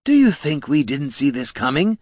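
A man's voice: a little high, very animated, normal speed, dry recording, studio quality, voice pushed up. A man voicing a portly, grandfatherly old businessman, genial yet smug and pointed, in a fantasy audiobook. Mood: smooth, pointed. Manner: genial but quietly pointed.

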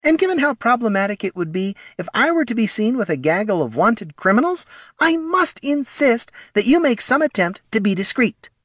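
Foppish little kobold, high thin voice, affected, patronizing, fantasy audiobook. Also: male voice, sing-song, moderate pace, fairly bright tone, no echo, clean studio sound, full character voice. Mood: prim, scolding. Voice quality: small, thin voice. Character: small, snobbish, foppish male kobold courtier. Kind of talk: audiobook character voice.

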